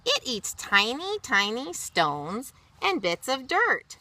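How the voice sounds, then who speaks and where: A woman's voice: high-pitched, huge pitch swings, slow, pushed and bright, dry recording, voice pushed up, full character voice. A woman voicing a patient storybook grandmother who loves worms, explaining things with emphasis. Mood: patient, instructive. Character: grandmother, patient garden teacher fond of worms.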